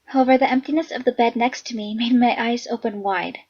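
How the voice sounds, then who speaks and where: A hoarse young woman reading a visual novel aloud, chatty with excitable asides. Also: a little high, fairly quick, lo-fi sound. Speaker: game reader and narrator.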